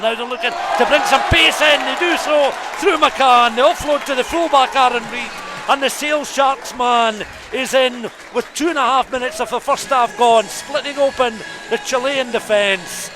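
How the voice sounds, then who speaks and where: Male radio rugby play-by-play caller, high register, detailed scene-setting, live from the ground. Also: normal speed, few pauses, a crowd in the background, background noise. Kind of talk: live radio sports commentary. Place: commentary position at a rugby ground.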